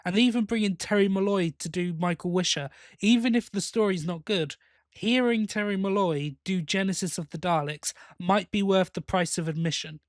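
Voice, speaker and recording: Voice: medium pitch, moderate pace, dry recording, studio quality. A podcast host in casual review chat, opinionated and emphatic in complaint.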